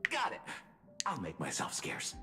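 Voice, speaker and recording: An actor voicing a cheerful male robot in a video game who delivers dad jokes with a deadpan, chipper manner. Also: on the low side, unhurried, long reverb tail, exaggerated voice.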